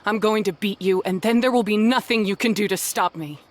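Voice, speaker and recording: A woman's voice: mid-range voice, fairly quick, a little hiss or hum, natural voice. A woman playing a hardened, bitter retired mercenary in an anime game, speaking with firm, cynical resolve. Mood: cold resolve. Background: music.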